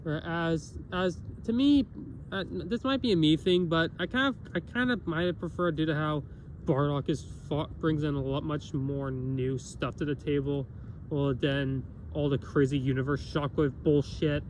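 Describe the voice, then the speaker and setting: A man's voice: a little high, moderate pace, a little mellow, a little hiss or hum, poor audio. Nasal man rambling with a cough. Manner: rambling, with many restarts and self-corrections. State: has a cough. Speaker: reviewer. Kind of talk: casual spoken review.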